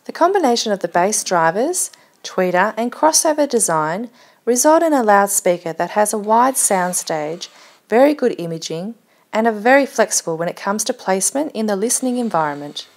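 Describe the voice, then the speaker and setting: A woman's voice: mid-range voice, big pitch swings, normal speed. Woman narrating a product video.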